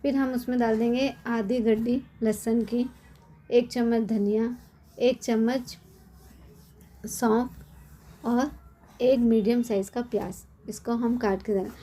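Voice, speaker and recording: A middle-aged woman with a hoarse, cold-worn voice, chatting casually as she explains a home recipe step by step in her kitchen. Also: on the high side, fairly even intonation, slow pace, long pauses, dark tone. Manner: chatty, explains step by step with frequent tag questions. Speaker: cooking channel host. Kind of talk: casual cooking tutorial.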